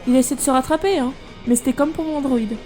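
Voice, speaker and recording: High-pitched young woman, acted, brash, sardonic, blunt slangy talk, audio drama, music behind. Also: fast talker, warm tone, close to an everyday voice. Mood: bitter, resigned. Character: brash, irreverent young woman robotics engineer, defiant.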